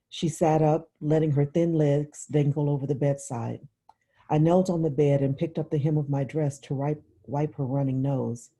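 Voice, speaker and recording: A woman narrates literary fiction in a lowish voice. The tone is very soft and dark, with a husky grain, as she reads the prose aloud. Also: rough recording. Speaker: reader.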